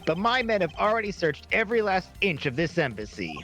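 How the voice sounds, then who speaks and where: A man's voice: sits high, big pitch swings, sharp tone, soft background music, heavily acted. A man voicing a proud, confrontational agent in a video game fan dub, with theatrical, emphatic delivery. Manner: theatrical, emphatic, drawn-out words. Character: proud male agent with a wolf fixation, brash and confrontational.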